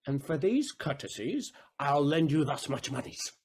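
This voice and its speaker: An actor playing an aggrieved, wily moneylender in a Shakespearean audio drama, deliberate, rhetorical and sardonic in manner. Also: male voice, fairly high pitch, very animated, a little mellow, husky. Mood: bitter, sardonic.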